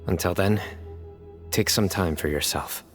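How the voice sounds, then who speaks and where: An actor playing a stoic, serious young judge in a video game, speaking in a grave, measured way. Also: male voice, low-pitched, soft background music. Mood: grave, resolved.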